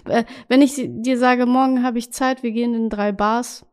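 A woman hosting a casual interview, asking friendly, quick questions. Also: a little high, steady tone, a little mellow, clear, resonant tone. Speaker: interview host.

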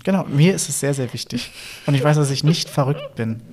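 A young man with a Berlin accent co-hosting a casual podcast, relaxed and chatty, with lots of fillers and little chuckles. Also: on the high side, a little fast. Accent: Berlin German. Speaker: podcast co-host. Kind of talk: casual couple podcast chat.

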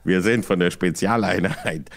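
A man telling an anecdote casually in a halting, rambling, self-mocking way. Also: sits low, vocal fry. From Germany.

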